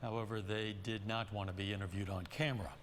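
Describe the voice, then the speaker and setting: A man's voice: lowish voice, flat delivery, quick pace. An American man reading scripted news as a television anchor. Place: TV news studio. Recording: director's cue chatter over studio audio, some noise.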